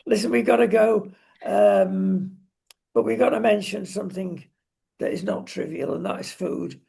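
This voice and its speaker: A male talk show host with a very raspy, heavily creaking voice. He speaks in short, emphatic phrases and readily hands out opinions and advice. His pitch sits high for a man. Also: steady tone, moderate pace, warm tone. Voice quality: husky, gravelly. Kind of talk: opinionated casual discussion.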